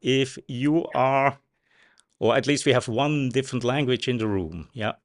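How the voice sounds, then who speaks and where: A man from Switzerland, a native Swiss German speaker, hosting a live interview in a relaxed, chatty way with fillers. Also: mid-range voice, measured pace. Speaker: show host.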